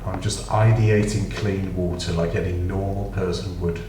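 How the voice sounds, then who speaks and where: A British man with a very low voice talks his way through a game in a loose, rambling way, full of dry asides that poke fun at himself. He sounds some way off from the mic, in an echoey room with clear noise underneath. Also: fairly even intonation, moderate pace, far from the mic. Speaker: gaming channel host. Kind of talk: casual gameplay commentary.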